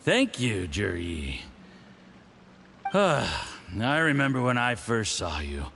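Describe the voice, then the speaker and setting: Smug diplomat villain, condescending, theatrical, very animated intonation, hard-drinking schemer, video game, slight background noise. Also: male voice, lowish voice, creaky, full character voice. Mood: self-satisfied. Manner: theatrical, condescending, with drawn-out teasing.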